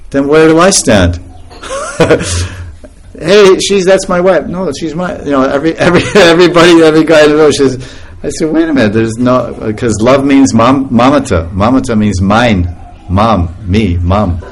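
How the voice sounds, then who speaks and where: A man's voice: mid-range voice, normal speed. A man giving an informal spiritual talk to a group, laughing often as he tells stories. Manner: laughing often, animated storytelling.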